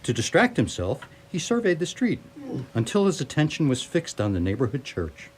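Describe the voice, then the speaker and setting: A man's voice: mid-range voice, wildly swooping pitch, normal speed. A man narrating a radio play in a theatrical, wry storytelling manner.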